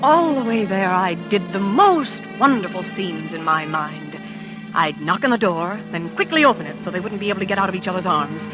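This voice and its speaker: An actress playing a jealous, vain, dramatic wife in a theatrical, self-pitying 1940s radio style. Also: female voice, on the low side, animated, brisk, close to an everyday voice, poor audio. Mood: confiding, self-justifying. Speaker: lead actress and first-person narrator. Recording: old 1940s radio recording with music.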